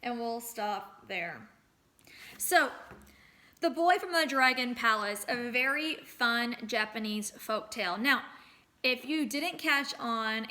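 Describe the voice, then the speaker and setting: Bright-voiced woman teacher reading aloud. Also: fairly high pitch. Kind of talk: expressive storybook read-aloud with lesson questions.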